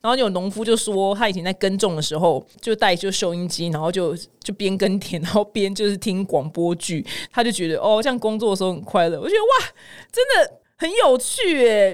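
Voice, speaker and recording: A woman's voice: medium pitch, lively intonation, brisk. A middle-aged woman with a Taiwanese Mandarin accent hosts casual news commentary with personal anecdotes, chatty, colloquial and exclamatory. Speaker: podcast host.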